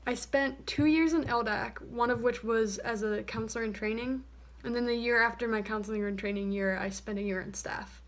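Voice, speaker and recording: 19 yo college girl introducing herself, kinda high voice, laid back. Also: steady tone, moderate pace. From United States. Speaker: university student. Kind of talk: casual self-introduction.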